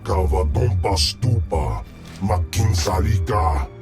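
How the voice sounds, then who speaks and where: Slow, deep alien crime lord. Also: male voice, lively intonation, full character voice. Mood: imperious. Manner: ponderous, domineering, speaking an invented alien tongue. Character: huge, imperious male alien slug crime lord. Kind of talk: video game voice acting. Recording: music playing underneath.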